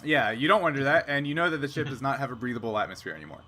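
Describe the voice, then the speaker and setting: A man narrating an improvised sci-fi tabletop game as game master, conversational and pausing to find his words. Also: medium pitch, lively intonation, bright, forceful tone, slight background noise.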